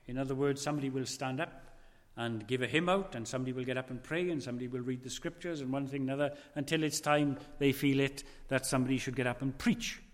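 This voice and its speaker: Reverent male preacher in a reverberant hall. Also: mid-range voice. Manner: slow, weighty preaching with dramatic drops in volume. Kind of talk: sermon.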